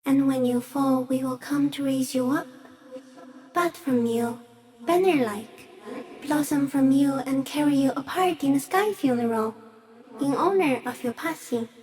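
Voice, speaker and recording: A woman voicing an ancient, shy giant stick insect in a video game, gentle and solemn, speaking poetically with hushed, eerie wonder. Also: high-pitched, a little slow, rough recording. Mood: solemn, awed. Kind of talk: slow poetic game monologue.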